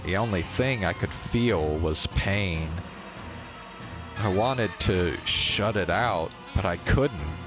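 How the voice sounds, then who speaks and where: A man streaming a visual novel, reading its first-person text aloud as dramatic narration in deliberate, measured phrases. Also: low voice, over music.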